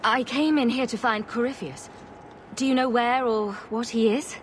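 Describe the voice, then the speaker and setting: An actress playing a bold, sardonic young adventurer heroine in a fantasy video game. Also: female voice, on the high side, fairly even intonation, normal speed, slight background noise, lightly acted. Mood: wary. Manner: sarcastic, questioning. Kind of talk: dramatic fantasy game acting.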